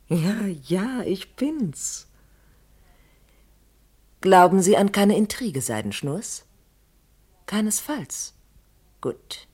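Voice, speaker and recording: An actress in a 1970s German radio play, playing a fatalistic, secretive wife in a deliberate, melodramatic way with a husky, breathy voice. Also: female voice, fairly low pitch, darkish tone, exaggerated voice.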